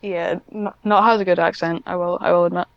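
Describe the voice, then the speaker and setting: A woman's voice: lowish voice, steady tone, fairly quick, no room sound, dull audio. A Scottish woman hosting a casual podcast interview and chatting with her guest.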